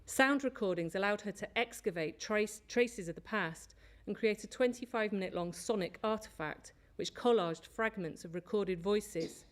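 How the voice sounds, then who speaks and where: A woman presenting at an academic conference, reading from prepared notes in a measured way with small slips. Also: mid-range voice. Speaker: conference speaker, lecturer. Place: lecture hall.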